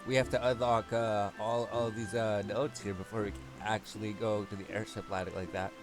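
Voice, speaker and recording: A man's voice: lowish voice, level tone, fairly quick. A man giving casual, rambling game commentary as a video host, with music behind him. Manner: rambling, repeats himself, often clears throat.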